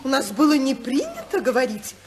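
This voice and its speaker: Casting: prim older socialite for vintage radio play, chatty, high voice with animated intonation. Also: female voice, brisk. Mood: scandalized. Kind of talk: 1950s Soviet radio drama acting. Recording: 1950s radio play recording.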